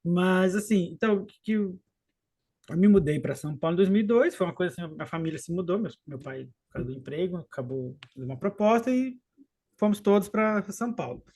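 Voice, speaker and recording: A man in his late thirties from southern Brazil with a faint gaúcho accent, telling a personal story in an unhurried, halting way, with frequent restarts and trailing asides. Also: high voice, expressive, darkish tone. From Rio Grande do Sul, southern Brazil. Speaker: podcast guest and co-host. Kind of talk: casual storytelling in a podcast chat.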